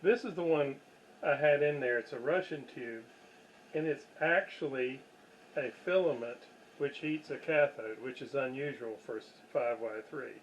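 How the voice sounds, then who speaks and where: A man giving casual, unscripted workbench commentary in a halting way, with pauses and self-deprecating asides. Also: mid-range voice, lo-fi sound. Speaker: host of radio repair videos. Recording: clear background noise.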